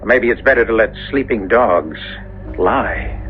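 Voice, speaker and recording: An actor playing a cool, needling young brother in a 1970s radio drama, nonchalant and sly. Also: male voice, on the low side, animated, measured pace. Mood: offhand, sly. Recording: tinny old radio recording, faint music.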